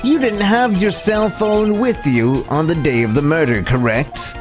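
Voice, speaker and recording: A woman voicing an earnest, unsure young male defense lawyer in a courtroom game, sometimes halting, sometimes assertive. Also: low-pitched, over music. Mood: earnest, deliberate. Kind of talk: courtroom video game voice acting.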